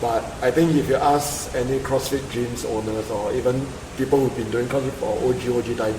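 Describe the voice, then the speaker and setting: A man with a Singaporean accent explaining his views in casual podcast talk, with punchy, animated phrasing. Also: medium pitch, fairly quick. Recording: echoey room with clear background hiss.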